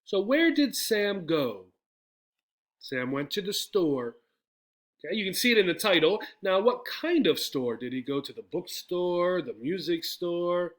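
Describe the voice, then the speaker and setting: A man's voice: sits high, big pitch swings, unhurried. A man teaching English, explaining step by step in a didactic way with frequent questions and check-in tags. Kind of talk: explanatory English lesson with poem reading.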